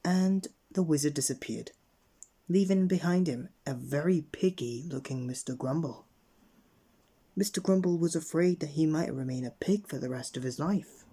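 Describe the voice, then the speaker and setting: A man narrating a children's bedtime story in an expressive read-aloud manner. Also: on the high side, moderate pace. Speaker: storybook reader.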